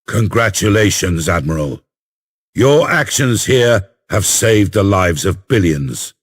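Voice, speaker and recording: Stern space inquisitor from a game, deep voice, real slow, solemn and formal. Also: male voice, lively intonation, husky, no echo, clean studio sound, full character voice. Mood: solemn praise. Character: solemn, commanding imperial inquisitor, stern and watchful.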